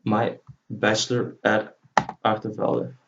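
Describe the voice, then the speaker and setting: A man whose native language is Dutch, giving an informal screen-recorded software tutorial as he walks through each step. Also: fairly low pitch, monotone, takes it slowly. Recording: dull lo-fi mic, faint noise. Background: computer keyboard typing and clicking.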